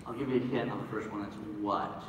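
A man's voice: lowish voice, steady tone, fast talker. A man hosting a casual stage interview in a jokey, chatty way. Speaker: panel host. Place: large convention hall stage, recorded from the audience. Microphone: distant camera in the audience. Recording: echoey hall from far off, crowd noise.

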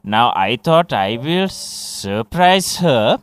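Man putting on a rambling, tipsy husband, slow and swooping. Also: medium pitch, sing-song, clean tone. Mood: confused, rambling. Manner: hesitant, repetitive, rambling. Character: tipsy, rambling husband baking a Christmas cake. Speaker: radio host making a prank call.

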